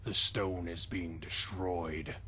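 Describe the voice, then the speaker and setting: A man playing a gruff, laconic older wizard mentor in a comic fanfiction reading, speaking slowly and plainly. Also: low voice, steady tone, pushed and bright, voice pushed down, heavily acted. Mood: laconic, calm.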